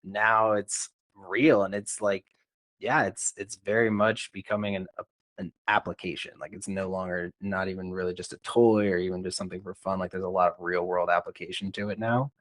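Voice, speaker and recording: A man with a fairly low voice hosts a casual podcast interview. His talk is informal and chatty, circling back over his own words, with a dry, close sound. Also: flat delivery, moderate pace, fairly bright tone, no echo. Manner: informal, repeats himself, peppers talk with "like". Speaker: podcast host.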